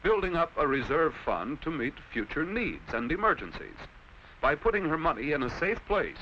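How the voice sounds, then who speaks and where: A dull 1940s film soundtrack with faint hiss carries an American man's narration. His pitch is middling and moves up and down in a lively way. He explains things in measured, clearly enunciated phrases, in the manner of a vintage classroom film.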